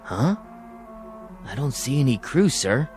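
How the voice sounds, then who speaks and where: A man playing a bewildered, hesitant airport ground worker in a television drama, halting and stunned. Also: medium pitch, big pitch swings, over loud music.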